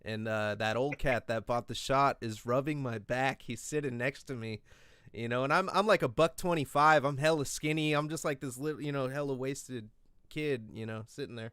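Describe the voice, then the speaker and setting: A man's voice: mid-range voice, moderate pace, a bit bright, clean tone, dry recording, studio quality. A man in his late twenties hosting a podcast, telling a rowdy story in casual, profane chat. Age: 20s. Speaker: podcast host.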